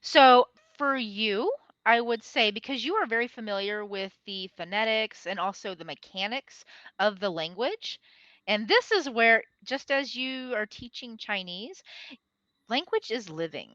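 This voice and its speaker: A woman of forty, an English tutor from the United States, chatting excitedly with a student and laughing often. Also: fairly high pitch, unhurried, no echo, dull audio. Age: 40s. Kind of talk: online lesson conversation. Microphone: video call.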